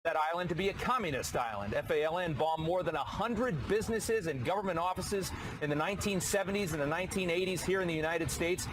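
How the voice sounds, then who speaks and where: A man reporting live outdoors on a city plaza, with a brisk, factual news delivery. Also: high-pitched. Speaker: field reporter. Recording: outdoor street noise.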